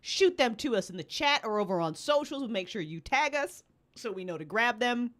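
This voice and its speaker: A woman's voice: fairly high pitch, expressive, moderate pace, fairly bright tone. A woman hosting a live stream in a casual, playful, rambling way with plenty of swearing. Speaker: livestream host, podcast co-founder, writer and director. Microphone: streaming setup with webcam and headphones.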